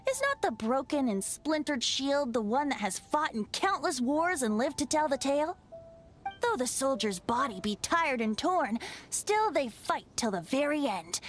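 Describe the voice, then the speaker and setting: A woman's voice: high voice, fairly bright tone. A woman voicing a playful, boyish young male bard in a video game, with a theatrical, eloquent, lilting delivery.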